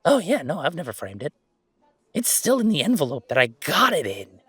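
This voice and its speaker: A man playing a laid-back young werewolf gamer in an intimate audio roleplay, chatting in a wry, halting, casual way. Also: on the high side, huge pitch swings, a bit bright, breathy edge, dry recording, full character voice. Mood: easygoing, wry. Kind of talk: intimate roleplay audio, naturalistic one-sided conversation.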